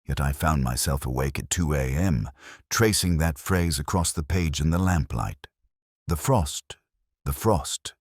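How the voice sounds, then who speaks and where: A man narrating a scripted mystery as a reflective, sceptical police detective, in a measured, formal, introspective manner. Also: extremely low pitch, breathy edge, no room sound, studio quality, exaggerated voice. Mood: brooding, reflective. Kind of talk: scripted first-person mystery narration, literary.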